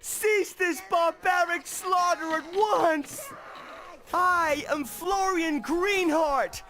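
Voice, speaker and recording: A man's voice: very high voice, fairly bright tone, full character voice. An actor playing a self-righteous male elf protector of nature in a video game, speaking in an indignant, commanding way.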